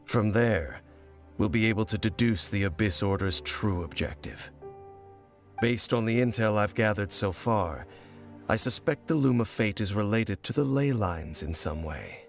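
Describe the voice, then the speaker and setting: An actor playing a grave, mysterious male wanderer in a video game, speaking in a solemn, measured, formal way. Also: lowish voice, lively intonation, soft background music.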